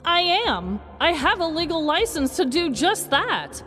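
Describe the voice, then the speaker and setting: A man's voice: very high-pitched, very animated, a little slow, bright, forceful tone, soft background music, voice pushed up, exaggerated voice. A man voicing an earnest, flustered suburban mother, prim and pleading, in a comedic dramatized story. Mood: defensive, pleading.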